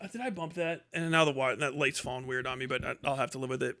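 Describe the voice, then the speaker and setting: A man's voice: mid-range voice, steady tone, fairly quick, pushed and bright, dry recording, studio quality. A man hosting a livestream, giving rambling, emphatic and sweary opinionated commentary in casual speech. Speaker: livestream host.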